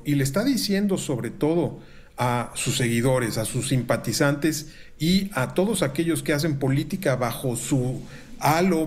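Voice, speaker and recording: A middle-aged Mexican man, a university researcher, giving measured, formal analysis from a room at home over a video call, with frequent filler pauses. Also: on the high side. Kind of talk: analytical commentary. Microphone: video call webcam.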